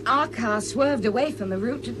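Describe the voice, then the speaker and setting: A woman's voice: a little high, normal speed, background noise, close to an everyday voice. An actress playing a plucky, concerned young woman, an adventurer's companion, in a 1940s-style radio serial. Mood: brisk, eager.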